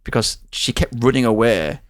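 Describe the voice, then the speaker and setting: A man's voice: mid-range voice, expressive, moderate pace, no room sound, studio quality. A man on a podcast, telling anecdotes in a casual conversational style. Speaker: podcast host.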